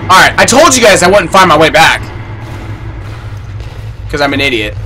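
Young man yelling through a horror game. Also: fairly high pitch, sing-song, normal speed, slight background noise. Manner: swears a lot, yells in panic. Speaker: streamer. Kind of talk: live horror game commentary. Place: small room indoors. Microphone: headset.

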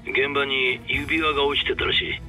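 A man playing a brisk, serious middle-aged caller in a video game, speaking bluntly over a phone. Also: mid-range voice, steady tone, pushed and bright, soft background music. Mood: urgent. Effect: phone call. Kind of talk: dramatic video game cutscene acting.

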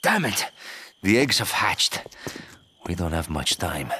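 A male actor playing a cocky, roguish ally in a video game cutscene, with an expressive, sardonic way of talking. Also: sits low, slow, a little hiss or hum, full character voice. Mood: urgent.

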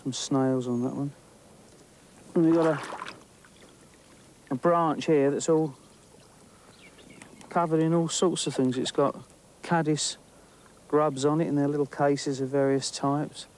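Chatty middle-aged English angler outdoors. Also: male voice, fairly high pitch, steady tone, unhurried, pauses often, a little mellow, slight background noise. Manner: chatty and enthusiastic, thinking aloud with small restarts. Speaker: angling presenter. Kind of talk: on-location nature commentary while fishing. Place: outdoors at a tree-lined lake bank.

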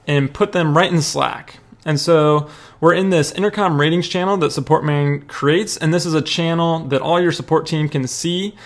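A young man with a somewhat high-pitched voice walks through a screen-recorded demo. His delivery is informal and conversational, like someone casually showing how a tool works from his computer. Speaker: product demo presenter.

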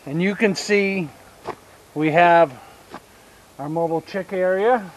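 A man in his fifties with a Southern US accent, giving a casual outdoor tour of his homestead and explaining each step with pauses. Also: sits high, a little hiss or hum, poor audio. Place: outdoors on rural land by a pine forest. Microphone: action camera held at arm's length.

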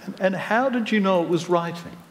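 A man interviewing a guest on stage who reads passages aloud in a steady, earnest voice. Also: high-pitched, a little fast. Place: live event in a hall. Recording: distant mic in a reverberant hall.

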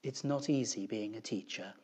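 A man voicing a calm, quietly sympathetic man in a children's storybook, subdued and measured. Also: lowish voice, slightly rough. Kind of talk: children's storybook character reading.

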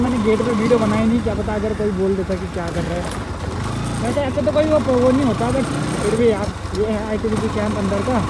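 Chatty young man, loud engine noise. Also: high-pitched. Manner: informal, chatty, addressing viewers. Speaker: motovlogger. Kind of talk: casual vlog narration while riding. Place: outdoors, riding a motorbike through streets. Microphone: phone mounted on rider. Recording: very noisy outdoor motorbike engine noise.

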